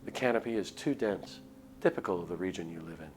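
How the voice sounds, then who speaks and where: A man's voice: deep voice, expressive, fast, darkish tone. A man streaming a visual novel, reading the narration aloud expressively with casual asides. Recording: music under the voice. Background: game background music.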